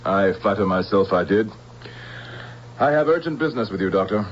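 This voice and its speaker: An actor playing a menacing, imperious general in an old-time radio drama, giving clipped commands and cold threats. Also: male voice, fairly low pitch, lively intonation, brisk, natural voice. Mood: curt, imperious. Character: menacing, commanding male general plotting interplanetary war. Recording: muffled vintage radio broadcast, faint hiss.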